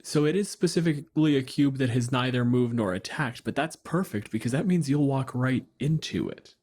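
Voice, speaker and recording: A man with an average-pitched voice chats as a panelist on a tabletop gaming show. His delivery is explanatory and informal, in loose conversational phrasing. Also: lively intonation, moderate pace, a little mellow. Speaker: podcast panelist. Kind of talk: casual tabletop gaming discussion.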